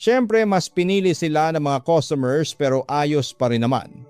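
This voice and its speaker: A man's voice: fairly high pitch, lively intonation, normal speed, a little mellow, clear, resonant tone, dry recording, faint music behind, natural voice. A man playing a frank, earnest young working-class older brother who admonishes and confides in a radio drama. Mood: light, boastful.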